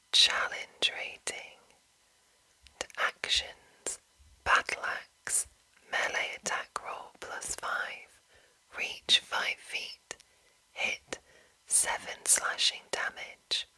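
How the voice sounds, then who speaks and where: A woman whispers slowly and breathily, as an ASMR host reading from a game manual. Her phrasing is hushed and deliberate, with a crisp, bright edge to the airy sound. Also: low-quality recording.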